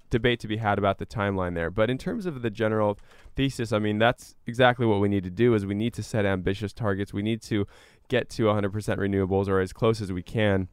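Low, clear-voiced man explaining things. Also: no room sound, studio quality. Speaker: interview guest, climate advocate. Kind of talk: conversational explanatory interview.

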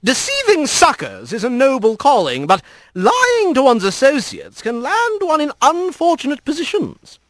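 A man's voice: very high voice, wildly swooping pitch, normal speed, a bit bright, no room sound, voice pushed up, heavily acted. A man voicing a sly, lordly fox spirit in a storybook, airy and mock-polite with a teasing, cutting edge. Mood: lightly cutting. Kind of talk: animated storybook character reading.

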